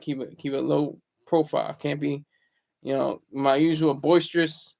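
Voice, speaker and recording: A man hosts a casual livestream from home, talking in a deliberately hushed, lowered voice. His tone is gravelly with a slightly raised pitch for a man, and he often stumbles and repeats words as he chats with viewers. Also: fairly even intonation. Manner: deliberately low, hushed delivery. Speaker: livestream host. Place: home, room indoors.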